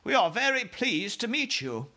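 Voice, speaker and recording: A man's voice: high-pitched, big pitch swings, normal speed, pushed and bright, pitched above the performer's own voice, exaggerated voice. A man voicing a dignified, gracious Queen from a children's storybook, speaking in a polite, formal, composed manner. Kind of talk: children's storybook read aloud.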